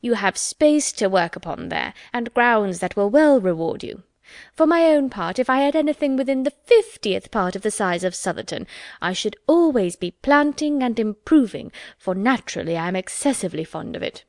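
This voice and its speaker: A woman voicing an officious, self-important older widow who talks insistently and loudly. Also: on the high side, animated, normal speed, no echo, studio quality. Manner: insistent, loud, fussy.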